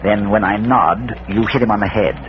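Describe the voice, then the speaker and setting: Actor as a scholarly gentleman sleuth, muffled vintage radio. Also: on the low side, moderate pace, natural voice. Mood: hushed, instructing. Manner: precise, hesitant pedantic asides. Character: brisk, scholarly amateur detective, a well-bred older gentleman. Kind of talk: old-time radio mystery acting. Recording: dull, noisy vintage radio recording.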